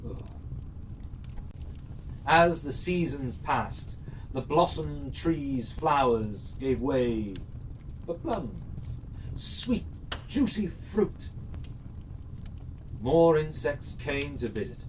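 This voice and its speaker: A storyteller narrating a children's fairytale expressively, with dramatic, deliberate phrasing. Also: medium pitch, lively intonation. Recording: slightly distant mic, faint noise.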